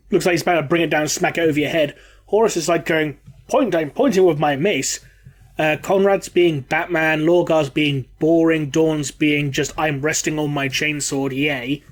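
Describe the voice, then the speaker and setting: A man hosting a casual podcast, a bit bunged up from a cold, joking and laughing often. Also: fairly high pitch. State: congested from a cold. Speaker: podcast host. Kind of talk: casual chat.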